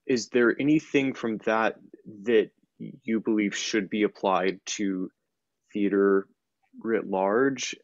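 A young man puts careful interview questions in formal, halting phrases. His voice sits fairly low. The video call sound is dry and somewhat dull. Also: no echo. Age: 20s. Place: home room indoors. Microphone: computer mic on video call.

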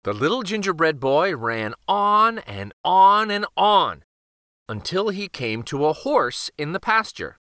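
Lively man reading a picture book aloud. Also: sits high, no room sound, studio quality. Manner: expressive, deliberate storytelling. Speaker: children's book reader. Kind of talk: storybook read-aloud.

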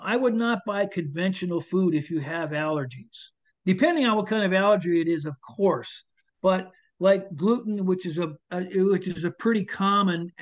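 An older man, a chef, answering audience questions on a video call, hesitant with many restarts and fillers. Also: high voice. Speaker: chef and foraging instructor. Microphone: video call webcam. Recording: dull lo-fi video call audio.

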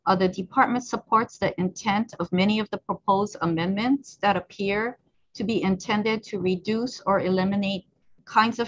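A woman in her fifties, an agency head, giving measured formal testimony over a video call from her office. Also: medium pitch. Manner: measured, summarizing a prepared statement. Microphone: earbud headset on a video call. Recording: slightly dull video-call audio with faint background noise.

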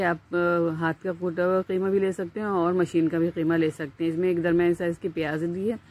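A woman with a Pakistani Urdu accent, hosting a home cooking channel and explaining each step in a chatty voice-over with small pauses. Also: fairly low pitch, a little mellow. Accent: Urdu-speaking Pakistani.

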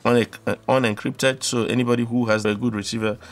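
A man's voice: mid-range voice, little pitch movement, brisk. A man hosting a hands-on tutorial, explaining in an instructional way and often repeating and rephrasing his steps. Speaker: electronics tutorial host.

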